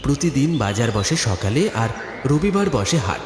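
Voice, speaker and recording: A man's voice: medium pitch, big pitch swings, normal speed, a little mellow. A man narrating a horror cartoon story in a dramatic, scripted storytelling style. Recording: echoey studio reverb, music behind. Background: music.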